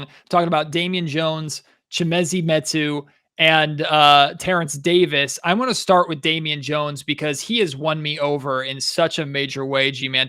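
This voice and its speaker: A man's voice: fairly high pitch, pushed and bright, clear, resonant tone. A man hosting a sports podcast, presenting commentary and analysis.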